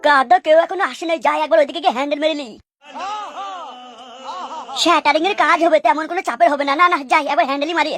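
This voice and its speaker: A man's voice: extremely high pitch, steady tone, fast, long pauses, music in the background. A man voicing a lecherous, sly young construction worker with a crude, wheedling manner in a comic cartoon dub. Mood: grumbling, idle.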